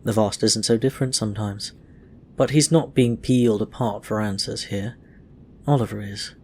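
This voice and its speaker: A man's voice: lowish voice, steady tone, normal speed, darkish tone, a little hiss or hum. A man reading fiction aloud as a scripted narration. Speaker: audio fiction reader. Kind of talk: scripted story narration with acted dialogue.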